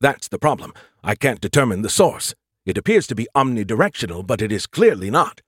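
A man voicing an excitable, arrogant artificial intelligence in an audiobook, flippant and quick to insult. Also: medium pitch, breathy edge, no room sound, studio quality. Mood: baffled, snarky.

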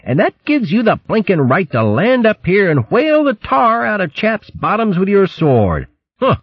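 A man's voice: sits high, wildly swooping pitch, normal speed, husky, dry recording, highs cut off, pitched above the performer's own voice, exaggerated voice. A man voicing a ragged, indignant hare in a storybook, blustering theatrically in old-fashioned upper-class slang. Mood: huffy, scolding. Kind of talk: audiobook character voice.

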